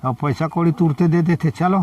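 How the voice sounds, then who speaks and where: Man, Chhattisgarhi accent, oral folk storyteller, short informal phrases, highish pitch, distant lo-fi recording. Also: darkish tone. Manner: informal, in short phrases with pauses for the listener's responses. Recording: dull lo-fi, distant microphone.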